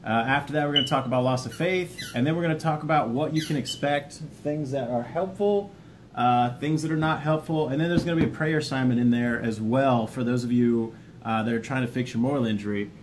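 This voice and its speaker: A man with a mid-pitched voice hosts an informal video, speaking plainly and directly to his viewers. His manner is folksy, with jokey asides, and there is a faint rumbly noise behind him. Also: fairly quick. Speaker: video host, army veteran sharing self-help lessons. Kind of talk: informal video talk, partly reading a book overview.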